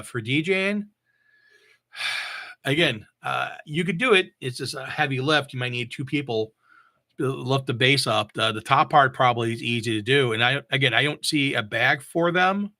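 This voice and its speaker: Male gear reviewer, sharp bright tone, mid-range pitch, unscripted and halting, thinking aloud. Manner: halting, repeats and thinks aloud while reading specs. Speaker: mobile DJ reviewing audio gear. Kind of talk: casual unscripted product talk.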